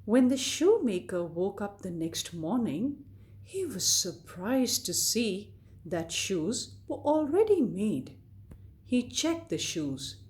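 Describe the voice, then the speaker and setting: A woman storyteller reading a scripted fairy tale to children in a deliberate, expressive way. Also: mid-range voice. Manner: deliberate, expressive read-aloud delivery addressed to children.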